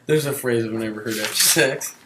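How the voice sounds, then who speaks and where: A man's voice: medium pitch, a little fast, lightly acted. Man reading as a dry romance hero, echoey room. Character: romance novel hero, lusty ex-military man. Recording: roomy echo, faint background noise.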